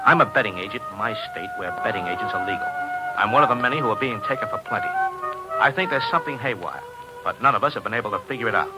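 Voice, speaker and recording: A man's voice: mid-range voice, huge pitch swings, racing, loud music behind the voice. An actor playing a worried, slangy betting agent, a big man, in a 1950s radio drama. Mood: troubled. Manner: slangy, hard-boiled talk. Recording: noisy old radio recording.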